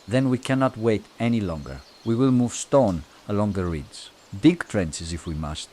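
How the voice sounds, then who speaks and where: Deep, grave elf sentinel played by a man. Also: lively intonation, moderate pace, mellow. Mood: grave resolve. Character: grave, resolute male elf patroller. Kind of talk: fantasy audio drama acting.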